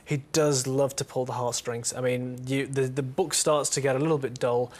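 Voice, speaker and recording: Flat-voiced man, halting talk. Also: medium pitch. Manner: halting, many restarts. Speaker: book panelist. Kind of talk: panel discussion.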